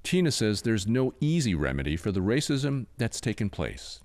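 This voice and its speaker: Male American news reporter, low voice, very varied intonation, scripted narration over music. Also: very animated, normal speed, a little mellow.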